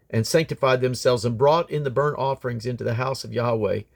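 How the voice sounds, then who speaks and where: A man reading scripture aloud as a Bible reader, in a solemn, declamatory manner. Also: on the high side.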